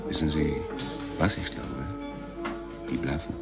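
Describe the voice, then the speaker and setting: A man playing a smooth, self-important TV talk show host in a German radio play, effusive and mannered, hesitating with ers. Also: extremely low pitch, steady tone, a little slow, over loud music. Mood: confidential, flirtatious.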